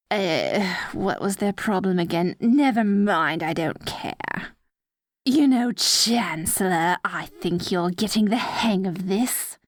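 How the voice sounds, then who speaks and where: A woman's voice: fairly high pitch, no echo, studio quality, exaggerated voice. A woman playing an adorkable evil sorceress queen in a scripted roleplay audio, petulant and gleefully wicked, sliding from theatrical gloating into casual chatter. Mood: confiding.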